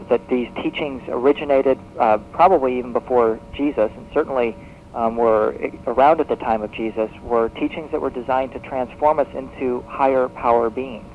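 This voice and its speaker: Man explaining over tinny shortwave radio. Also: mid-range voice. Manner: halting, expository, repeats words as he explains. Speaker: guest expert on a radio show. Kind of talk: explanatory radio interview talk. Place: radio broadcast, taped off air. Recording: thin, noisy shortwave radio broadcast on cassette.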